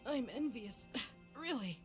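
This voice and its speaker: A sickly, moneyed lady in a video game. She speaks in a languid, condescending way with creepy teasing under it. Music plays clearly behind her. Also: a little high, fairly bright tone, full character voice. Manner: languid, sighing, coughing, condescending.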